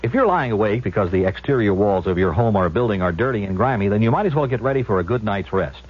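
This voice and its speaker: Male radio pitchman, low husky voice, brisk delivery, muffled broadcast. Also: lively intonation, background noise. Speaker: commercial announcer. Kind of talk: scripted radio advertisement.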